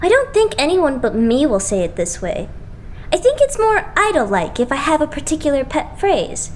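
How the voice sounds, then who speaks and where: A woman's voice: high voice, sing-song, normal speed, a little mellow, slight background noise. A woman playing a quirky, dramatic young idol who exclaims theatrically in an anime game fan dub. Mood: glum, sheepish. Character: dramatic, quirky young idol, self-declared ordinary citizen.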